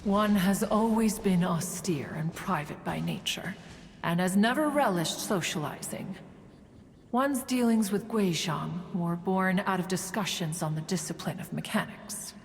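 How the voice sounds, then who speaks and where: A woman's voice: lowish voice, a little slow, a little hiss or hum. A woman voicing an ancient, proud, austere immortal in a video game, speaking in a formal, pompous manner. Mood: proud, matter-of-fact. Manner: formal, pompous, calls herself "one". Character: ancient, proud, haughty female immortal adeptus, austere, learned. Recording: long hall reverb over music.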